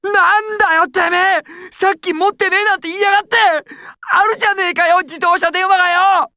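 Young male anime gangster: high-pitched, jittery, stammering, servile but explosive, dry close recording. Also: normal speed, bright, forceful tone, no room sound. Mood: furious, frantic. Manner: stammering, swings from timid to explosive. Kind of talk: anime and game dramatic acting.

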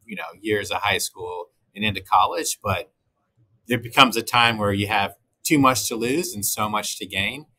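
A man's voice: fairly low pitch, normal speed, bright, forceful tone, poor audio. A middle-aged man from Texas, raised in Michigan, speaking as an interview guest over a video call, earnest and polite. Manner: polite, earnest, laughs easily.

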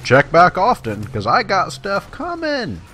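A man's voice: high-pitched, wildly swooping pitch, unhurried, sharp tone, a little hiss or hum. Man doing casual gameplay commentary. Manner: self-deprecating, addresses viewers directly. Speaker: gaming YouTuber, host. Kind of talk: casual let's-play game commentary. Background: video game sound.

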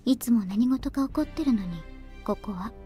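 Visual novel girl scientist, female actor: icy, commanding, very rapid soft-toned speech over faint music. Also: fairly high pitch, lightly acted. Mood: cool, reproachful. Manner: cool, terse, detached. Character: cold, aloof genius girl scientist, ageless and commanding. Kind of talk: Japanese visual novel voice acting. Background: game music.

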